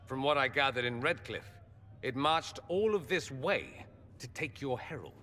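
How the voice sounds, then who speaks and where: Lively, sardonic fantasy mage. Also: male voice, mid-range voice, a little slow. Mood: wry, sardonic. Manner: sardonic, articulate. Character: wry, urbane, sharp-tongued mage in fantasy game. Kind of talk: dramatic video game acting. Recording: long hall reverb.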